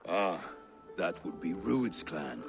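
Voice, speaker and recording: An actor playing a weary, cynical Dane steward in a video game, grumbling sardonically in a gravelly voice. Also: male voice, deep voice, animated, slow, full character voice. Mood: weary, sardonic. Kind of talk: video game cutscene acting. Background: music.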